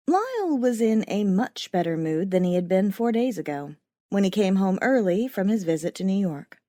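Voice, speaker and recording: A woman narrating scripted first-person fiction as an audiobook, with a wry, expressive storytelling manner. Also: mid-range voice, no echo, clean studio sound.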